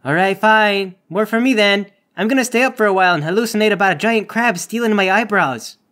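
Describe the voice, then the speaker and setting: A man's voice: sits high, brisk, bright, forceful tone. A man voicing a lazy, sweet-talking druggie girlfriend in a tabletop game, wheedling and whiny.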